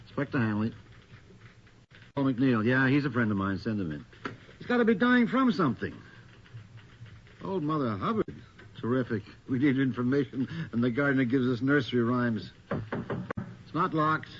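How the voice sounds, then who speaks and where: An actor playing a gruff, sceptical, wisecracking police detective in a radio drama, sardonic and grumbling. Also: male voice, mid-range voice, very animated, normal speed, long pauses, lightly acted. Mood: irritated, wry. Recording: muffled, noisy radio drama recording.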